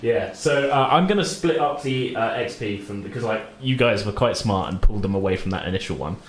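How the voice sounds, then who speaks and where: A man's voice: fairly low pitch, animated, a little fast, a little hiss or hum. A man acting as game master, narrating and refereeing a tabletop game in a casual, informal way. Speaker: game master, podcast host. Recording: roomy echo, clear group chatter behind.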